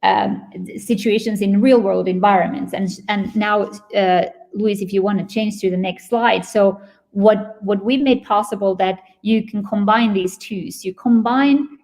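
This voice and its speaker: A woman's voice: medium pitch, steady tone, measured pace, a little mellow. A woman with a Finnish accent giving a webinar presentation, explaining at length and searching for words mid-sentence. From Finland. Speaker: company presenter.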